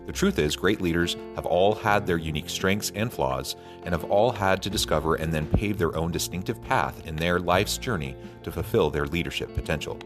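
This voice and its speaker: A man reading scripted promotional narration in a measured announcer style. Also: low voice, music in the background. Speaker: podcast host reading promos.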